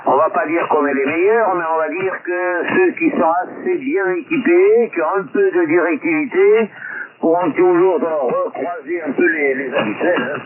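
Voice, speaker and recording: A man chatting as an amateur radio operator, jovial and wordy with flowery phrasing, over a radio transmission. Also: a little high. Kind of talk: casual radio sign-off chat. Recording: tinny narrow-band radio link, loud babble of interference.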